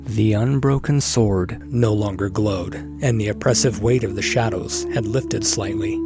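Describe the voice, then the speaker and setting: A man's voice: lowish voice, unhurried. A man reading scripted dramatic fantasy narration. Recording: clear music bed behind.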